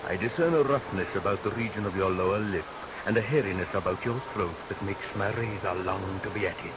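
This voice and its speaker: Man acting as villainous barber, mock-polite, menacing, theatrical radio melodrama, old recording under steady rain. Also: on the low side, fairly quick, very noisy, lightly acted. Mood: oily menace. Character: villainous oily murderous barber, falsely sweet, menacing.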